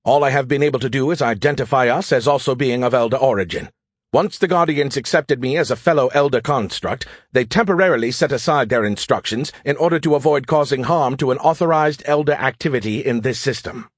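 A man voicing an arrogant, excitable alien artificial intelligence in an audiobook, with a sarcastic, condescending, theatrical manner. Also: medium pitch, a little fast, no echo, clean studio sound, natural voice. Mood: mocking, exasperated. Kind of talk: audiobook character voice.

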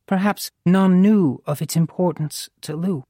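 A woman's voice: lowish voice, measured pace, mellow, dry recording, studio quality, pitched above the performer's own voice. An audiobook narrator voicing a fond, wry older mother, a formal and imperious woman who teases as she talks. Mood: gentle, composed. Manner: formal, crisp, teasing phrasing. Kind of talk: audiobook character dialogue.

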